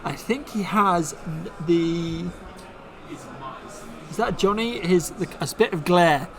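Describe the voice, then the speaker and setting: A man's voice: high-pitched, slow pace, music in the background. Upbeat man calling a card game. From Bristol, England. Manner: upbeat, reads card text aloud. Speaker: commentator. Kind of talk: casual stream commentary.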